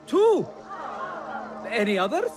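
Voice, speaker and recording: An actor playing a flamboyant auction host in a video game, with theatrical, effusive sales patter. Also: male voice, very high-pitched, very animated, slow pace, music in the background, exaggerated voice. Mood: showy, effusive. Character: flamboyant male auction host in medieval Baghdad bazaar. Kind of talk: video game cutscene acting. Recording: busy crowd behind.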